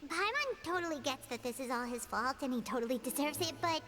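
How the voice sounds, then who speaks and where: A woman voicing a small, excitable, skittish childlike fairy companion in a video game, who speaks of herself in the third person. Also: a little fast. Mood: wary, hesitant. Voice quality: squeaky, childlike. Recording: game music behind the voice.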